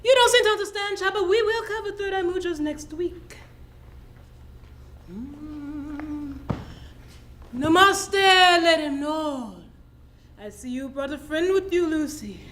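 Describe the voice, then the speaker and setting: A woman playing a serene, condescending new-age yoga instructor in a comedy sketch, with airy, pompous, drawn-out delivery. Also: very high-pitched, fairly bright tone, a little hiss or hum, full character voice. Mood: serene, patronizing.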